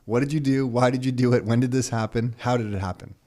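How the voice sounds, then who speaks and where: A man's voice: mid-range voice, steady tone, fast talker, dark tone. A Canadian man co-hosting a podcast, telling a story in a rambling, self-correcting way and laughing as he goes. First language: Croatian. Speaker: podcast co-host. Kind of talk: casual storytelling chat.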